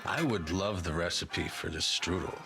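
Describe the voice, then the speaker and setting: An actor playing a bewildered, good-hearted 1920s baker, plain-spoken and halting. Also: male voice, low voice, creaky, bright, forceful tone, faint music behind, full character voice. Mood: flustered, appreciative. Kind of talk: period film acting.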